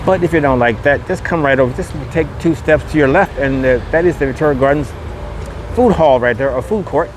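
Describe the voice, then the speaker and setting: A man's voice: fairly high pitch, a little fast. A man giving a casual walking tour commentary as a vlog host, with repetitive, promotional patter full of catchphrases, recorded outdoors on a busy street. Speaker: vlogger hosting a walking podcast. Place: outdoors on a busy open-air mall street. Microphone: handheld phone camera. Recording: outdoor street noise, wind and traffic.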